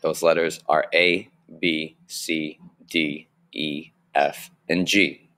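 A young man with a low voice explains music basics slowly and clearly, teaching a recorded online lesson from a small room on his webcam mic. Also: little pitch movement, sharp tone.

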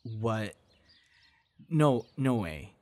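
A man's voice: on the low side, sing-song, drawn-out words, a little mellow, heavily acted. Man acting a friendly, coaxing young guy. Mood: cheerful, surprised. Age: young adult. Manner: earnest, coaxing, sighs and breathy reactions. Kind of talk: ASMR audio roleplay, one-sided dialogue to listener.

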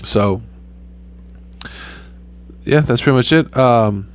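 A young man casually talking over his mobile gameplay in a rambling, laid-back way, with a flat, low-key voice and loose swearing. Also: takes it slowly, clean tone. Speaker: gaming YouTuber.

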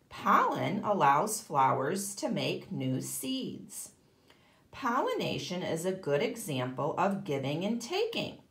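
A woman's voice: fairly low pitch, echoey room. A woman teaching kindergarten, reading a children's book aloud expressively and explaining it to young listeners. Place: indoor room, at a table.

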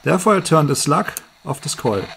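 A man with a slightly husky voice giving an unscripted hands-on electronics demonstration, explaining step by step as the presenter. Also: a little high, very animated, fairly quick, fairly bright tone, slight background noise. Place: indoors at a table.

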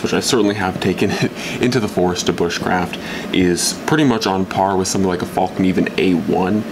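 A man's voice: sits low, noisy background. A young man casually reviewing a knife at his workbench, rambling with fillers and restarts. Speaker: outdoor gear reviewer. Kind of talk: casual gear review talk. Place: indoors at a workbench.

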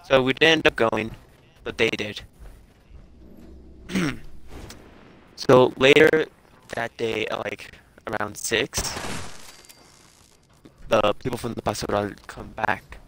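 A young man telling a casual story in a halting, rambling way full of self-corrections, as a game streamer chatting. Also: lowish voice, creaky, fairly bright tone, low-quality recording. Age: young adult. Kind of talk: casual storytelling over gameplay.

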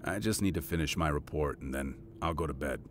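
A man playing a devoted father and veteran intelligence monitor in an audio drama, speaking in a measured, matter-of-fact way. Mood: gentle. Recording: audible low rumbling background noise.

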